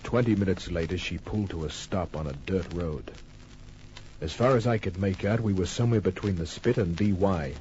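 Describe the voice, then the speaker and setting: A man's voice: low voice, moderate pace, a little mellow, slight background noise, natural voice. An actor playing a wry, hard-boiled private detective who narrates his case in a laconic, cool way in an old-time radio crime drama. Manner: laconic, cool, clipped storytelling. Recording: muffled old radio recording with music.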